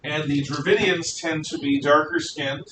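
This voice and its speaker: A man lecturing a class as a history teacher, conversational, animated and emphatic in his explanations. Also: moderate pace. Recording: noisy rumbling room, distant mic.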